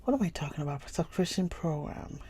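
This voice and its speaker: A woman's voice: sits low, lively intonation, a little fast, mellow, breathy edge, no room sound. A young woman from Ghana hosting a livestream book reading, chatting casually and laughing often as she greets viewers by name. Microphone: phone or computer stream mic.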